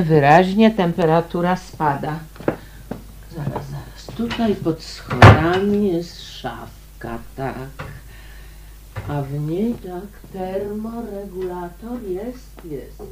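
Polite woman, radio drama, slow pace, musing repetitions, some background noise. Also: sits low, lively intonation, exaggerated voice. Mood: puzzled. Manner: unhurried, muttering to herself with repeated words. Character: polite, mature woman newly moved in, musing aloud.

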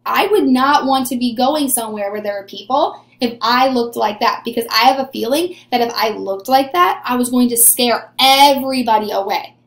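A woman's voice: fairly high pitch, moderate pace, hardly any pauses, echoey room. A woman in her twenties teaching young children in an encouraging, question-and-answer way, recorded in a small room at desk distance. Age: 20s. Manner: encouraging, asks questions and answers them as if pupils replied. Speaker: early elementary teacher. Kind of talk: animated read-aloud lesson for young children. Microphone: webcam or camera at desk distance.

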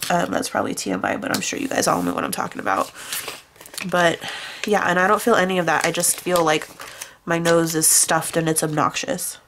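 A young woman talks in a nasal, stuffed-up voice, pitched a little low. She rambles casually with plenty of fillers while she works on a planner at her desk. A faint hiss of background noise sits under the overhead camera mic. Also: lively intonation, a little fast, gravelly, fairly bright tone. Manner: rambling, chatty, with many fillers. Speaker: planner vlogger. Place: at a desk indoors, hands on a planner.

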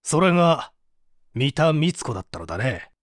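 An actor playing an authoritative, probing prosecutor who questions formally in a dramatic audio mystery. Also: male voice, fairly high pitch, sing-song, normal speed, no room sound, clean studio sound. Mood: probing, firm. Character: male prosecutor, probing, authoritative, composed interrogator. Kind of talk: dramatic audio reading of an interrogation.

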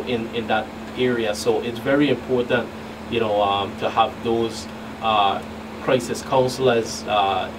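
Male law enforcement guest, mid pitch, explanatory and halting, with clear background noise. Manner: halting, repeats words. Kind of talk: explanatory radio interview answers. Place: small radio studio. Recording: noisy, distant mic with room hum.

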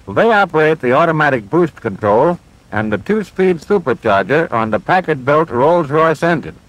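A military flight instructor from a 1940s training film. His voice sits fairly high and swings animatedly in pitch. He explains step by step with patient confidence, heard on a vintage film soundtrack. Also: sing-song, moderate pace, rough recording. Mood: patient, instructive. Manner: clear, methodical instructional delivery.

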